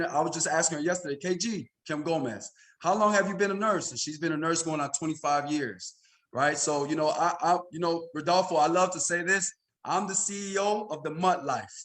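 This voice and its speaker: Higher-voiced American man telling stories. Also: a bit bright. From Chicago, United States. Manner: conversational, frequent "you know" and "right?" tags. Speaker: podcast guest, insurance agency senior executive. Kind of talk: casual interview storytelling.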